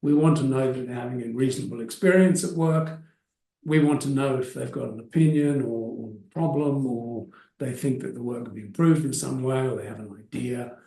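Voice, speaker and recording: A man's voice: medium pitch, a little fast, vocal fry, warm tone. A middle-aged man with an Australian accent, giving thoughtful, hesitant interview answers as an expert witness with frequent restarts. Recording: echoey room, mic at a distance.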